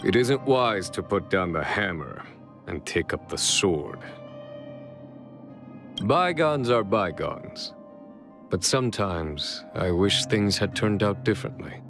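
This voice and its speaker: A man voicing a composed, wise, wistful general in a video game, measured and unruffled. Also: deep voice, lively intonation, music in the background, full character voice.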